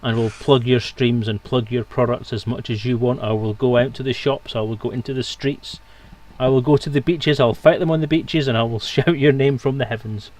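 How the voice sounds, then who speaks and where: A man's voice: medium pitch, steady tone, a little fast, hardly any pauses, a little mellow. A man hosting a livestream with jokey, playful, casual banter. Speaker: livestream host.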